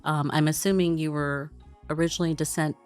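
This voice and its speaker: A woman born in Pakistan and raised in Chicago, hosting a chatty interview. Her voice sits low for a woman. She gushes and rambles through her questions, with faint music in the background. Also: fairly even intonation, fairly quick. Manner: eager, effusive, rambling questions. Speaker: podcast host. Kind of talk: casual interview chat.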